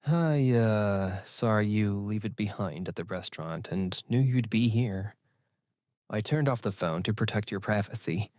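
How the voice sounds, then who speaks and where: A male actor playing a young assistant coach in a romance audiobook, with a lowish voice and a close, dry sound. He speaks softly and hesitantly, tender and a little apologetic, quietly smitten. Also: expressive, measured pace, no room sound. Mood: hesitant, shy. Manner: hesitant, soft-spoken, apologetic.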